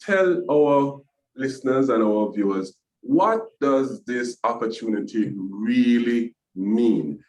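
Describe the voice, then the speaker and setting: Man hosting, echoey room. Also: mid-range voice. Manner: halting, restarts phrases, warm chuckles. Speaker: webinar host, business agency executive. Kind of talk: moderating an online panel. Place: video call.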